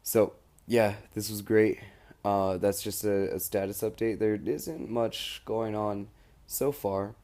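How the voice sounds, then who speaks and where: Young American male for audio diary: lowish, very mellow voice, slangy, loose, unscripted delivery. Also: steady tone, slow pace. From United States. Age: young adult. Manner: rambling, informal, slangy. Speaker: student recording an audio log abroad. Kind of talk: casual spoken diary.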